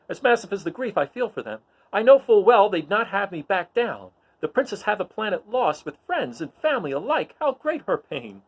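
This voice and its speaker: An earnest young farmboy rebel, as a man reads him aloud, pitched well up and full of fervor. He declaims in Shakespearean verse with big, swinging intonation, like the hero of a dramatic storybook.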